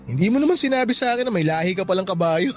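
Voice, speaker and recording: A man's voice: high voice, animated, rapid-fire. A man playing a friendly, easygoing young coworker in a Tagalog radio drama, with a Filipino accent. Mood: friendly, teasing. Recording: music bed behind the voice.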